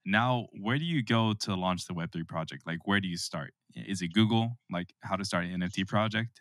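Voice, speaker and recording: A man with a low-pitched voice hosts a casual interview. His sound is dry and close, and he talks in an agreeable, conversational way. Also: fairly quick, a bit bright, no room sound. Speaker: podcast host, interviewer.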